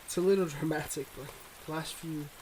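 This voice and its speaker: A man playing a devoted but guarded mob boss boyfriend in an intimate ASMR roleplay, speaking in earnest, measured confessions. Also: fairly high pitch, fairly even intonation, darkish tone, a little hiss or hum, natural voice, poor audio. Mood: bittersweet, devoted.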